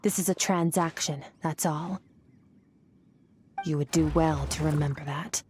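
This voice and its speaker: A woman's voice: sits low, fairly even intonation, measured pace, a little mellow, faint music behind. A woman playing a cool, stern warrior mentor in a video game, speaking in a detached, businesslike way. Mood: cold, curt. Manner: detached, terse, matter-of-fact.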